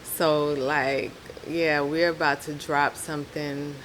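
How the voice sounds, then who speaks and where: A woman's voice: low voice, level tone, slow pace, a bit bright, rough recording. New Orleans-accented woman chatting over background noise. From New Orleans, Louisiana. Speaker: podcast guest, rapper. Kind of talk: casual interview chat.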